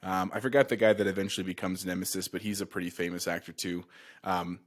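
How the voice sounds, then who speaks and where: Middle-aged man, lower register, enthusiastic, casual podcast co-host. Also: fairly even intonation, fast talker.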